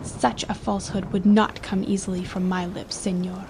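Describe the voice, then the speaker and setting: A woman's voice: mid-range voice, little pitch movement, normal speed, darkish tone, a little hiss or hum, close to an everyday voice. A woman playing a proud, defiant young noblewoman in a dramatic audiobook, speaking with formal, impassioned dignity. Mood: wary, proud. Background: ambience under the voice.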